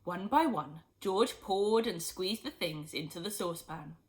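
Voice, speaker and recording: A woman reading a children's storybook aloud in expressive, scripted narration. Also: on the low side, unhurried. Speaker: storybook reader.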